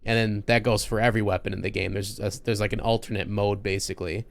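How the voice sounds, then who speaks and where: Gravelly-voiced man chatting on a podcast. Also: on the low side, fairly even intonation, quick pace, slight background noise. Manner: enthusiastic, rambling with restarts. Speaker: podcast host. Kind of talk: casual podcast chat.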